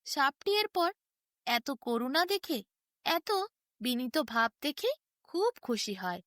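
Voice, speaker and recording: A woman's voice: high-pitched, sing-song, slow, a bit bright, dry recording, clean studio sound. A woman narrating a fairy tale as a bedtime story, with a sing-song storytelling delivery. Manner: sing-song storytelling with wide rises and falls.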